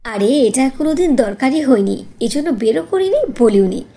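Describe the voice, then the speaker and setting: A woman voicing a gentle, patient young mother in a cartoon, soothing as she explains. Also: sits high, lively intonation, normal speed, a little hiss or hum. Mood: reassuring. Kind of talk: cartoon voice acting.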